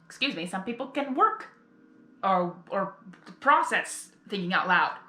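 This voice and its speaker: A woman giving casual reaction commentary as a video host, with expressive interjections. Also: mid-range voice, measured pace. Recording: roomy sound with faint noise.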